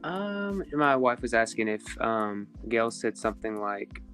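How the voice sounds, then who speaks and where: A man's voice: medium pitch, sing-song, measured pace, a bit bright, faint music behind. A man in casual chat, mostly listening and relaying questions.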